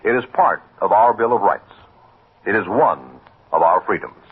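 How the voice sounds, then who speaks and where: A man's voice: fairly low pitch, expressive, moderate pace, breathy edge, narrow, tinny audio. An American man as a radio announcer, delivering solemn, dramatic 1950s scripted narration with emphasis. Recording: thin, band-limited old radio recording.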